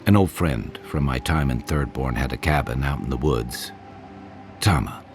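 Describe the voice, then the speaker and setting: An actor playing a jovial, warm-hearted rogue of an adventuring companion in a video game, teasing and easygoing. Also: male voice, extremely low pitch, moderate pace. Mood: reflective, hesitant. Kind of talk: conversational video game voice acting. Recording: voice over game music.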